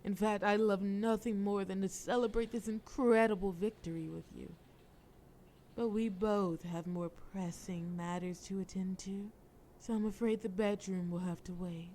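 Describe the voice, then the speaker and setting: Woman acting as a female crime boss, mellow dark tone, cunning, flirtatious, coolly threatening, audio roleplay, noticeable background noise. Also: medium pitch, steady tone, normal speed, close to an everyday voice. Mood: coaxing, menacing. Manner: sultry, teasing, persuasive. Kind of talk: scripted audio roleplay addressed to listener.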